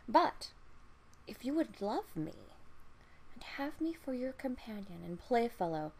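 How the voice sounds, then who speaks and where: A woman puts on an earnest male frog from a fairy tale. He pleads and coaxes in a slow, insistent voice pitched up from plain narration. Faint noise sits behind the recording. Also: sing-song, warm tone, poor audio. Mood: earnest bargaining. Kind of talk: fairy-tale storybook reading.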